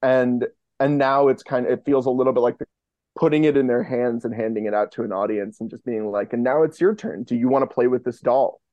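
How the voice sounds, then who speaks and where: A man's voice: medium pitch, a little fast, warm tone, no echo, muffled recording. A man speaking as a podcast guest in casual, rambling, enthusiastic interview chat. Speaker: podcast guest, fiction podcast co-creator.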